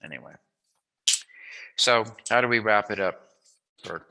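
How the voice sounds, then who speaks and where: A man's voice: on the low side, a little slow, bright, forceful tone, rough recording. A man hosting a casual chat interview, talking in a playful, jokey, musing way. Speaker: interviewer, host.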